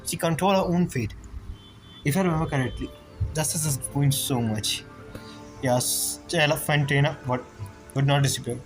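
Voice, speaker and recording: A man giving casual, halting commentary on a video game, musing aloud as he plays. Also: fairly high pitch, music in the background, poor audio. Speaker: gameplay streamer.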